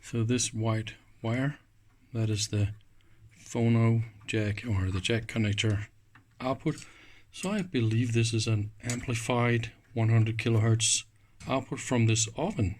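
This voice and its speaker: A man with a mid-pitched voice commentates on an electronics teardown. His delivery is very slow and halting, as he thinks aloud in short pieces while examining parts. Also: fairly even intonation, a little hiss or hum. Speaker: host of an electronics teardown channel.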